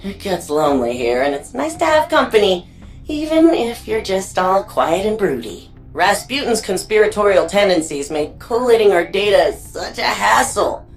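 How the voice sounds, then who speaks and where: A woman playing a chatty, curious and warm archivist in a sci-fi video game, with an informal, playful, flirty way of talking. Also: mid-range voice, sing-song, normal speed, faint music behind. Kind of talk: naturalistic video game dialogue.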